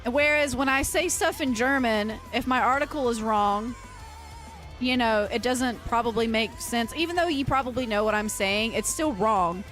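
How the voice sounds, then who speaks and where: A woman's voice: on the high side, moderate pace, a bit bright, faint music behind. A woman livestreamer chatting casually and earnestly with her viewers, rambling and often restarting her sentences. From United States. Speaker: livestreamer and metal vocalist.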